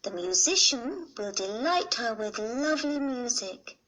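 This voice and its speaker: A woman reading a fairy tale aloud for children in a gentle, clear way. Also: a little high, lively intonation, measured pace, a little hiss or hum, rough recording.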